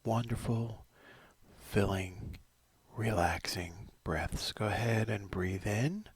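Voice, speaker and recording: A middle-aged man leading a guided body scan meditation, slow and soothing, often sinking into a whisper. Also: lowish voice, a little hiss or hum.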